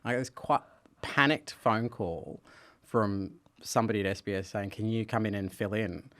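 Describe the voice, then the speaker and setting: A man chatting on a podcast. He talks fast and staccato and tells stories with animation. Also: on the low side, a bit bright. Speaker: podcast guest, quiz show host. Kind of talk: casual chat.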